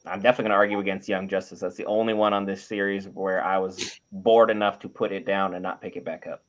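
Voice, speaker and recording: A man's voice: low voice, flat delivery, fast. A man co-hosting a panel, giving emphatic, opinionated takes in a casual debate and building his points in lists. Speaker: panel show co-host. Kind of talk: casual opinionated debate about animated series.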